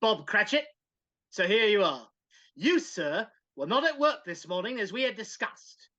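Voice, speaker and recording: A woman's voice: medium pitch, very animated, a little slow, pushed and bright, full character voice. A woman playing a grumpy but generous old male miser in a live dramatic reading over a video call. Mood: cranky, indignant. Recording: slightly dull video call audio.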